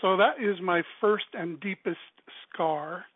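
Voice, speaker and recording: A man's voice: high voice, slow, husky, dry recording. A middle-aged man from South Texas, a ministry director, speaking as a guest in a radio interview, earnest and rambling with many fillers. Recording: tinny phone line.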